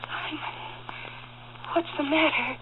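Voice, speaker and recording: A woman's voice: sits high, steady tone, slow pace. An actress playing a frightened, desperate young woman in a 1940s radio mystery, breathless, pleading and hesitant. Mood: panicked. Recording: narrow, hissy 1940s radio recording.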